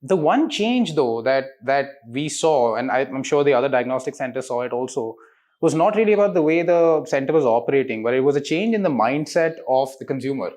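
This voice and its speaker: A man's voice: mid-range voice, animated, a little fast, a little mellow. A man, giving articulate explanatory interview answers peppered with rhetorical questions. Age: 30s. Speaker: interviewed diagnostics company representative.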